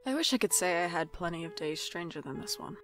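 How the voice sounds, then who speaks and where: A woman's voice: lowish voice, animated, quick pace, a bit bright, faint music behind. A woman playing an anxious, sarcastic young shop worker in an audio drama, with wry, exasperated narration and dialogue. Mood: uneasy, musing. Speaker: podcast drama lead and narrator.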